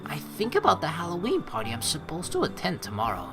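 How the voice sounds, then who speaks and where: A man's voice: on the high side, huge pitch swings, fast. A young man from the Netherlands reading a game aloud and chatting casually, crude and joking, with swearing. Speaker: let's play streamer. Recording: music behind the voice. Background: video game music.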